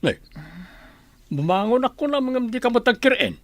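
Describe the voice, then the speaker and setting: An actor playing a gruff, demanding uncle who orders a child around in a Filipino radio drama. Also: male voice, very high voice, very animated, normal speed, fairly bright tone, no room sound, exaggerated voice. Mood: bossy, irritated.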